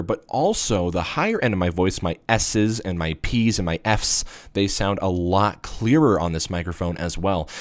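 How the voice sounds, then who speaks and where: A man with a deep voice casually explaining a gear review, with lots of fillers, into a USB studio microphone. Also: no room sound. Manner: rambling, filler-heavy explanations. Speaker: tech reviewer.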